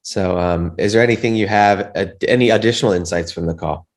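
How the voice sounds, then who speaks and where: Low-voiced man hosting a casual interview. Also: fairly quick. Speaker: host interviewing an investor.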